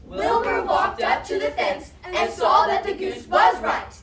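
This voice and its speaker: High-pitched young female storyteller, echoey. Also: lively intonation, a little slow, fairly bright tone. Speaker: narrator in student reader's theatre. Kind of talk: theatrical scripted storytelling. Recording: echoey hall, crowd noise, distant mic.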